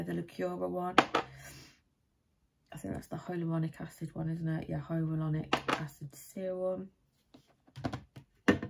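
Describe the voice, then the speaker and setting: Croaky, deep-voiced British woman vlogger. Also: noisy background. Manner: rambling, chatty, thinking aloud. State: tired. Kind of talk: casual chatty vlog. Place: small room at home, indoors.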